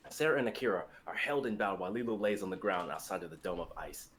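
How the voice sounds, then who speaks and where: A young man narrates a dramatic script, reading out scene and action directions. His voice is fairly low. The recording carries clear background noise and room ambience. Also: expressive, quick pace, fairly bright tone. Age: young adult.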